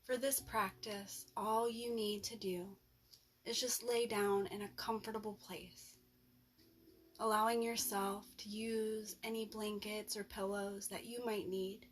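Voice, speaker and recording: A woman guides a relaxation meditation at a very slow pace, leaving long pauses between gentle instructions. Her pitch sits in the middle range for a woman. There is clear noise in the background of the recording. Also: little pitch movement. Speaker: yoga nidra instructor.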